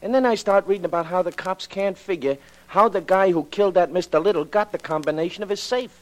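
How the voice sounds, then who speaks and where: An American actor playing a plucky working-class city cab driver in a 1940s radio drama, talking in informal slang. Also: male voice, high-pitched, quick pace, slight background noise, lightly acted, low-quality recording. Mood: wary, eager.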